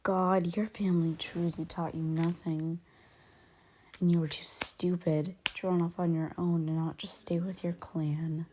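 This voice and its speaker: A woman acting an irritable, aloof lone female werewolf in an ASMR roleplay, curt and scornful, her soft voice often slipping into whispers. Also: fairly low pitch, flat delivery, measured pace, slight background noise, natural voice. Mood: exasperated, condescending. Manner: curt, scornful, exasperated sighing.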